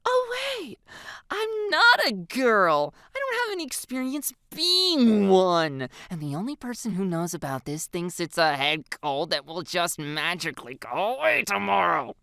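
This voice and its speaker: Woman voicing a high, whiny, anxious teenage girl. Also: huge pitch swings, unhurried, pauses often, a bit bright, no echo, studio quality, full character voice. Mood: anxious, sarcastic. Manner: whiny, dramatic.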